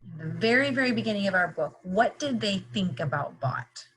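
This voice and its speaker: A woman's voice: mid-range voice, lively intonation, normal speed, fairly bright tone, slight background noise, poor audio. A woman leading an interactive read-aloud lesson for young children, explaining simply and checking in with repeated questions. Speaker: teacher reading a picture book aloud.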